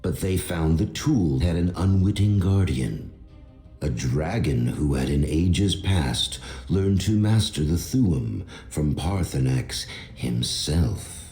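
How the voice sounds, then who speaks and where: An actor playing a solemn storyteller, narrating a fantasy video game in a measured manner. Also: male voice, a bass voice, expressive, mellow, roomy sound, soft background music, full character voice. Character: solemn male storyteller of heroic fantasy tales.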